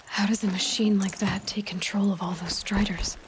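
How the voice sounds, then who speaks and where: An actress playing a blunt, determined young outcast huntress in a video game. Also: female voice, mid-range voice, steady tone, normal speed, background noise, close to an everyday voice. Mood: focused, curious. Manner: blunt, firm, challenging. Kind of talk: dramatic video game acting.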